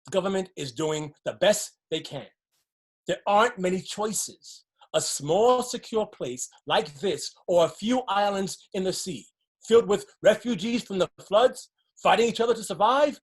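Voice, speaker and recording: An actress plays a woman who has survived disaster and clings to what little she has. Her voice is mid-pitched and a touch husky. She speaks as in a stage drama, pragmatic and cautioning, wary of any risk. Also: expressive, normal speed. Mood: firm, defensive. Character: wary, resigned flood survivor clinging to a cramped shelter. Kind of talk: stage play dialogue.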